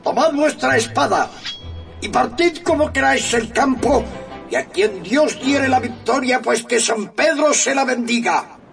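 Radio play casting: a solemn, deluded old knight, gallant and grandiloquent, gravelly, with widely swinging pitch. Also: male voice, lively intonation, measured pace, fairly bright tone, soft background music, full character voice. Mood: defiant. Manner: grandiloquent, declamatory. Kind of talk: radio drama acting.

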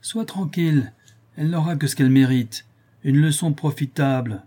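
A man voicing a cruel, vengeful criminal brute with a slow, hollow, menacing delivery in a storybook reading. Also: a little high, mellow, breathy edge, pitched above the performer's own voice. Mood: falsely reassuring.

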